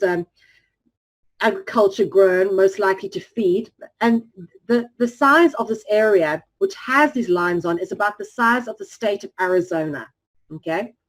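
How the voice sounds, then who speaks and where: A woman presenting a lecture over slides, explaining earnestly and often pausing on "um". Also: mid-range voice, rough recording. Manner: earnest, explanatory, frequent "um" fillers.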